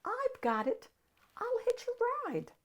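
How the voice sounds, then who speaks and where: A woman's voice: very high voice, wildly swooping pitch, slow pace, pitched above the performer's own voice, full character voice. A woman voicing a tiny, eager and determined female snail in a picture book, exclaiming in short bursts. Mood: excited.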